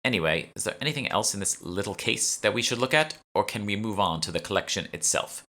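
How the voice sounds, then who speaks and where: An imperious young society woman in an audiobook, played by a man. The voice is lifted well above his own and is bright and forward, with a breezy, demanding, condescending manner. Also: fairly low pitch, animated, quick pace, voice pushed up. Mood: brisk, dismissive. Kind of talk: audiobook character dialogue.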